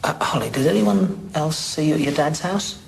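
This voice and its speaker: An actor with an English accent playing a middle-aged, steady police detective who asks plain, probing questions in an audio drama. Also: male voice, on the high side, normal speed, creaky, breathy edge, exaggerated voice, rough recording. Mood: questioning, measured. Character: seasoned, steady police detective sergeant, a family man. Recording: faint background ambience.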